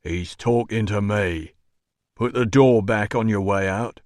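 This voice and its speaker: A man voicing a burly veteran doorman in an audiobook, gruff, mocking and quietly menacing. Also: lowish voice, a little slow, no echo, clean studio sound, close to an everyday voice. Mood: sardonic, curt. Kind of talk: audiobook character voice.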